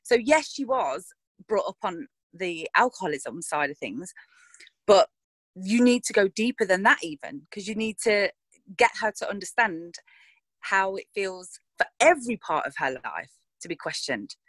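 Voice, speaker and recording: A woman speaks frankly and casually about her character, often restarting her sentences as she thinks aloud. Her voice sits at a middle pitch. The sound is dry and close, with no room around it. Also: lively intonation, moderate pace, fairly bright tone, dry recording. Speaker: actress interviewed about her roles. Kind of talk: casual interview chat.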